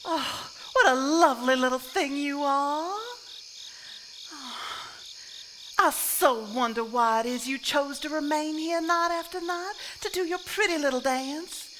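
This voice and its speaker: An actress playing a sweet, wistful, genteel Southern wife in a live stage drama, with a Southern US accent. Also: female voice, high-pitched, unhurried, fairly bright tone. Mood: tender, wistful. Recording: live stage recording, hiss and night insects.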